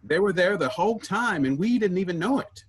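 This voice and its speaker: A man acting in a staged play reading as an earnest, wistful man searching for his vanished sibling, with a husky voice. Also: sits high, animated, a little fast. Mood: wistful, reflective.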